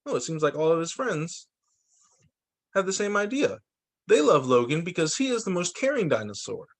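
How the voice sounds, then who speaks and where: Lively male teacher reading storybooks aloud. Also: on the high side, normal speed. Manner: expressive, sing-song storybook delivery. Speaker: teacher reading to young children. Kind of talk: read-aloud children's story time. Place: remote video call story session.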